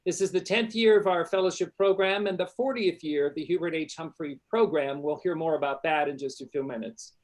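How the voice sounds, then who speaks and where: Guy emceeing an online graduation, bright and welcoming, kinda formal. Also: fairly high pitch, fairly quick, few pauses. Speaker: host of an online ceremony. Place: university journalism school.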